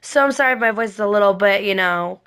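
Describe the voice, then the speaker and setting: Hoarse, slightly raspy woman, rambling casually. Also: medium pitch, fast, fairly bright tone. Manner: rambling, repetitive, self-correcting. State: hoarse from a lingering cold. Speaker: cosplay makeup vlogger. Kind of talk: casual makeup tutorial talk.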